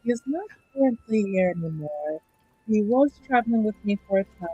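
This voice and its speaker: A woman's voice: medium pitch, expressive, warm tone, breathy edge, dry recording, lo-fi sound, soft background music. Woman playing a courteous, devout female attendant. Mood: devout, polite. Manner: courteous, formal, measured. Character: polite, devout young female noble house attendant. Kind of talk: improvised tabletop roleplay.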